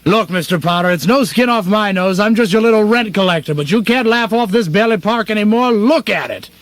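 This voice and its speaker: Guy doing prissy little rent collector in a radio spoof, really high voice, flippant, dry studio sound. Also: a little fast, no echo, lightly acted. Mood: needling. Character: fussy, wheedling little male rent collector. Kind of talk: comedic radio play acting.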